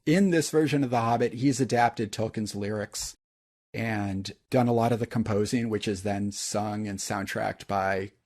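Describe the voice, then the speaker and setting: Deep-voiced man chatting casually. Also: moderate pace, darkish tone. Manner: rambling, enthusiastic, many fillers. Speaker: podcast co-host. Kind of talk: casual chat.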